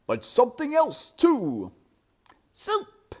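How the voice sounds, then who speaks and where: Gleeful, bloodthirsty storybook rats, crying out in a shrill sing-song. They are loud, hungry and taunting, with wildly swooping pitch. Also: female voice, wildly swooping pitch, slow, slightly rough, heavily acted. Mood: hungry, exultant.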